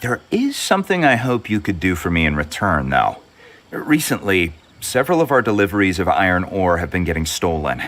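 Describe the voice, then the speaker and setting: Lively, low-voiced wry businessman. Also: fairly quick. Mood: wry, familiar. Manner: familiar, bantering, expressive. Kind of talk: scripted video game dialogue. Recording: game music behind.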